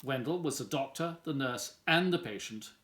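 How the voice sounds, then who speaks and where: A man's voice: mid-range voice, lively intonation, moderate pace, a bit bright. A man reading a children's picture book aloud as a storyteller. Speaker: volunteer story reader.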